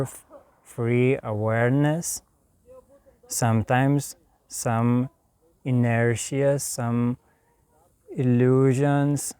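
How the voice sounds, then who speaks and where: A man from Lithuania with a Lithuanian accent, giving a calm, meditative spiritual talk in a slow, soft way with long pauses. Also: medium pitch, clean tone. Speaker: spiritual teacher.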